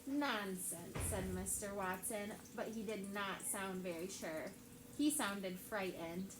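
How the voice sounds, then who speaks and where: A woman teacher reading a picture book aloud to her class with lively character voices. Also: medium pitch, takes it slowly. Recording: echoey classroom with background noise.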